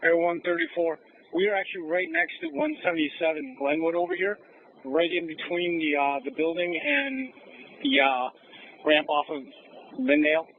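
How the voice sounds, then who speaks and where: A man's voice: fairly high pitch, sing-song, normal speed, slight background noise. A male police officer reporting in over a scanner radio. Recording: tinny, muddy scanner radio transmission.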